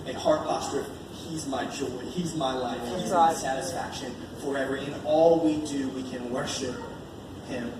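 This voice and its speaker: A man's voice: medium pitch, steady tone, normal speed. Echoey, distant American man preaching. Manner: colloquial, emphatic, repeating phrases for effect. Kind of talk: informal evangelistic sermon. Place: large echoey room at a live church gathering. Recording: echoey room from far off, noisy.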